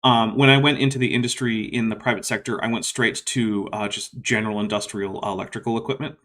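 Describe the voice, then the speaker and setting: A man's voice: lowish voice, steady tone, brisk, a bit bright. A man hosting a casual livestream question session, talking in a reflective, rambling way with many fillers and restarts, and a voice listeners call soothing. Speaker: YouTube host answering viewer questions.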